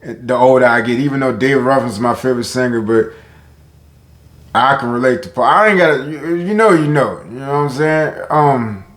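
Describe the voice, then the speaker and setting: Deep-voiced guy rambling to his viewers in an echoey room, keeps restarting his sentences. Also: moderate pace, a bit bright. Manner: rambling, self-interrupting, talks to viewers. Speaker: vlogger. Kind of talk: casual talk to camera.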